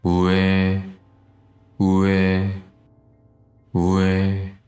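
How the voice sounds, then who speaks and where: A man voicing an unseen ghost that murmurs from somewhere above a toilet stall, low and distant. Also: completely flat delivery, extremely slow, a little mellow, echoey room. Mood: eerie murmur.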